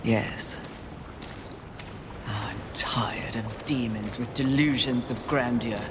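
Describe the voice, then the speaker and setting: A woman's voice: rumbling low, fairly even intonation, slow pace, heavy background noise, exaggerated voice. A woman voicing a stern, proud, scornful female warrior in a video game, with a curt and commanding manner. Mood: weary contempt. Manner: imperious, curt, contemptuous. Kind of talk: video game cutscene acting.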